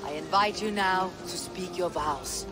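An actress playing a steady, commanding female Viking chieftain in a video game, speaking in a measured, stately way. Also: fairly low pitch, music in the background, natural voice. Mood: solemn. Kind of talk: dramatic video game acting.

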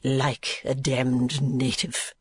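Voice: mid-range voice, level tone, very slow, breathy edge, no echo. A narrator voicing a foppish, idle dandy of a husband who drawls in an affected, gushingly amorous way. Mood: languid, affected.

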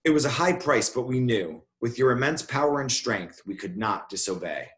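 A man voicing a frightened, flattering little girl in a storybook, pleading and telling her tale dramatically. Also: mid-range voice, normal speed, natural voice. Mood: dramatic, pleading. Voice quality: quivering.

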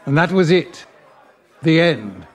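A lovelorn middle-aged man in a radio-style drama. His voice sits a little high and moves a lot in pitch. He talks slowly, with courteous, hesitant earnestness. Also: sing-song, some chatter behind, heavily acted. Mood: confessional. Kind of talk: audio drama dialogue.

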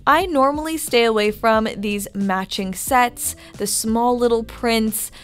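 A woman's voice: a little high, slow pace. A woman interior designer talking casually and upbeat as she walks through a home decor store, giving shopping tips in a voice-over. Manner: upbeat, chatty recommendations. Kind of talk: shop-with-me voice-over.

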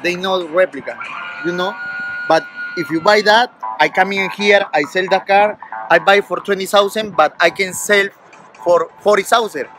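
A man's voice: high voice, moderate pace, over music, low-quality recording. A man in his thirties from Peru with a Peruvian Spanish accent, a valet talking eagerly in broken English on a city street. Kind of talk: street interview.

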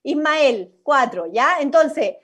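A woman's voice: sits high, animated, takes it slowly, fairly bright tone. A woman leading an improvised radio play workshop on a video call, instructive and encouraging. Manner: encouraging, instructive, acts out example lines. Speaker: workshop leader. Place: indoors at home, on a video call.

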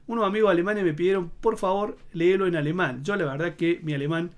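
A man's voice: high-pitched, fairly even intonation, quick pace. A man in his thirties with a Córdoba Argentine accent, giving rambling commentary to camera with many fillers and restarts. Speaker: political commentator. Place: indoor home studio in front of a green screen.

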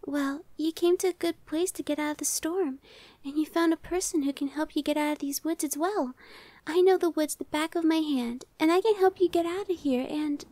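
A woman voicing a timid, anxious young female gargoyle in an ASMR roleplay, soft, girlish, hesitant and stammering. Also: high voice, normal speed, no room sound, natural voice. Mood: shy, hesitant. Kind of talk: ASMR audio roleplay acting.

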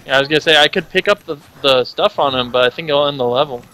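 A man's voice: fairly high pitch, fast talker, pushed and bright, slight background noise, low-quality recording. A man co-hosting a gameplay video with casual banter and commentary on the action. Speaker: gaming video co-host. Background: video game ambience.

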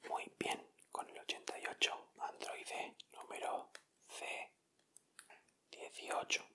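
A man whispering softly and deliberately in an ASMR style. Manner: soft, unhurried, deliberate narration of each item. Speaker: ASMR video creator. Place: indoors at a table.

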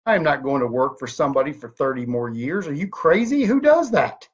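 A man's voice: a little high, brisk, breathy edge. A man of thirty-nine giving an emphatic motivational talk to a webcam from a home office, full of rhetorical questions. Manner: emphatic, animated hand gestures, rhetorical questions. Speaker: network marketing leader. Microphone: webcam at desk. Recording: dull lo-fi webcam audio.

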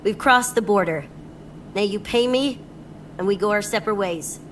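An AI woman's voice acts out a tough young female nomad mercenary from a video game. She is guarded and curt, with a mid-pitched synthetic voice over faint background noise. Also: normal speed, low-quality recording. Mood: firm, cool. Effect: AI-generated voice.

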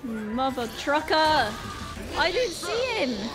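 A young woman with a Northern Irish accent talks through a game, sounding sick and a little off. She is easily flustered and exclaims often, speaking slowly in a slightly high voice, with faint music behind. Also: big pitch swings. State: sick, voice a bit off. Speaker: gaming YouTuber. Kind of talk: casual let's-play commentary.

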